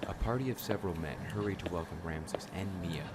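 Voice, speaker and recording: Very deep-voiced man narrating. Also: very noisy. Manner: measured, descriptive. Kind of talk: scripted drama narration.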